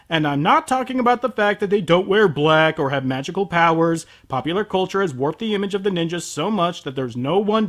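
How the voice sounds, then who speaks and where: A man hosting a scripted review show in a high voice with very lively intonation. His delivery is sardonic and emphatic, pitched as comedy commentary. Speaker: anime review show host.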